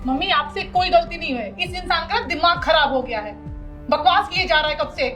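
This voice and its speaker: A woman plays an indignant wife in a family melodrama, scolding and combative. Her voice is extremely high and sharp, ringing in an echoey room. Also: a little fast, far from the mic. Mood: exasperated. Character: indignant wife fiercely defending her mother. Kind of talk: melodramatic family drama acting. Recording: roomy echo with dramatic music underneath.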